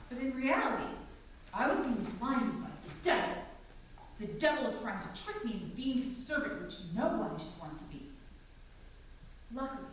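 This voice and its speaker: In a very echoey hall, far from the mic, a woman plays a devout mother on stage. Her voice is fairly high, and she explains things to her son with solemn, earnest care, phrase by deliberate phrase. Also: a little hiss or hum. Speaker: actor playing a mother. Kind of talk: staged reading of a novel, monologue. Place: indoor performance venue, live staged reading.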